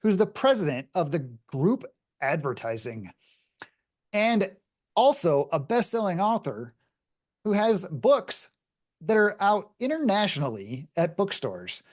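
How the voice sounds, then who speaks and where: A man's voice: high voice, very animated, measured pace. A man hosting a business podcast, introducing a guest and asking questions in an upbeat, emphatic manner. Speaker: podcast host. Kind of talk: interview hosting.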